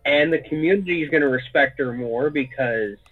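A man's voice: fairly high pitch, a little fast, soft background music. A man joining a casual chat as a guest, adding and pushing back on points. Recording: tinny phone-like call line.

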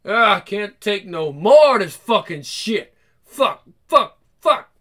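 Man acting out a furious foul-mouthed guy, loud and high. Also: expressive, moderate pace. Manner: swearing, confrontational, slangy. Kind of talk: comic book read-aloud with character voices.

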